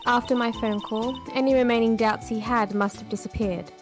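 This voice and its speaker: A woman reading the lines of a composed, guarded female chief prosecutor from a game, in a measured, formal way. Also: fairly high pitch, steady tone, over music, close to an everyday voice. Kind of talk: reading game dialogue aloud on stream.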